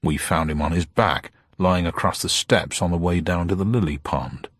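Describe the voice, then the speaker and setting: A man as a brisk, formal police superintendent. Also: deep voice, husky, dry recording, studio quality, exaggerated voice. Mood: formal, insistent. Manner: brisk, formal, quietly insistent.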